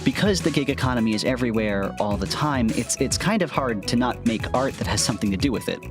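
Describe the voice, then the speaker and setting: A man's voice: medium pitch, expressive, quick pace. A man hosting a podcast, giving an informal, conversational and self-deprecating introduction. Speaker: host and audio fiction writer. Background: music with guitar.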